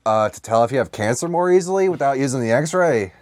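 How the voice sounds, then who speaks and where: A man's voice: medium pitch, lively intonation, fast, darkish tone. A young man co-hosting a podcast in casual comedic banter, swearing freely as he riffs. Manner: crude, profanity-laden, riffing. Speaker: podcast co-host. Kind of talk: casual comedic banter about comics and movies.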